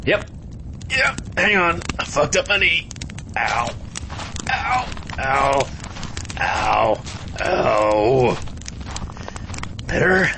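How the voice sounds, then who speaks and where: A man's voice: fairly high pitch, big pitch swings, very slow, long pauses, noisy background, exaggerated voice. A man playing a foul-mouthed, sarcastic guy who is hurt and complaining, in an audio comedy drama. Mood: pained. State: in pain.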